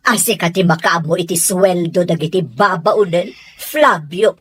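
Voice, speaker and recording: A woman's voice: lowish voice, moderate pace, husky. A woman with an Ilocano accent playing a suspicious, domineering wife and household boss in a radio drama. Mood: indignant.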